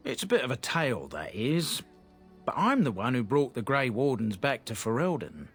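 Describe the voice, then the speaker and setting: A rambling, folksy older trader who gets a little nervous and chatters on. He spins his stories in a plain, mid-pitched voice, like a game character who loves an audience. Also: very animated, moderate pace, a bit bright, slightly rough, faint music behind. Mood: chatty. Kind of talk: game dialogue.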